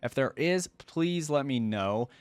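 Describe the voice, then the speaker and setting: A man's voice: mid-range voice, lively intonation, takes it slowly, clean tone, no room sound. A man hosting a reaction video, talking casually and rambling as he thinks aloud. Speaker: YouTube reaction host. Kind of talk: casual reaction commentary to camera.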